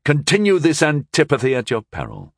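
Defiant knight-mage hero, read by a male narrator for fantasy audiobook. Cutting, lecturing, very animated, dry sound. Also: a little high, brisk, creaky, husky, dry recording, pitched above the performer's own voice, exaggerated voice. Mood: defiant challenge.

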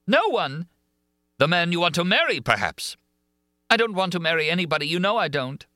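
Man doing a scared pleading young woman, high strained voice, dry close sound. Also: very animated, brisk, bright, forceful tone, no room sound, exaggerated voice. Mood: frightened, pleading.

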